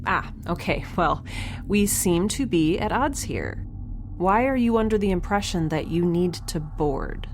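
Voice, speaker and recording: A woman's voice: lowish voice, expressive, a little slow. A woman playing a wry, flustered young woman alone on a spaceship, in a casual, hesitant, sarcastic audio drama style. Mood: nervous, polite. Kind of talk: naturalistic sci-fi audio drama acting. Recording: faint ship ambience noise.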